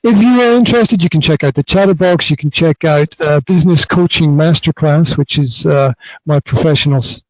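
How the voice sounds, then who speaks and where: A man's voice: a little high, brisk, slight background noise, poor audio. A man from the north of Scotland, hosting a casual podcast interview over an online call, friendly and often repeating himself. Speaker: podcast host.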